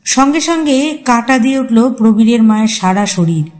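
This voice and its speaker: A woman's voice: on the high side, animated, moderate pace, darkish tone. A woman storyteller giving a dramatic scripted narration of a horror tale.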